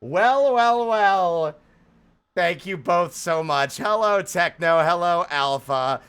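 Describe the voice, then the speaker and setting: A man with a slightly high voice hosts a casual livestream. His pitch swings widely as he rambles playfully, sliding from theatrical exclamations into offhand chat with his viewers. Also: animated, slow pace, fairly bright tone, clean tone. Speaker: live streamer, host.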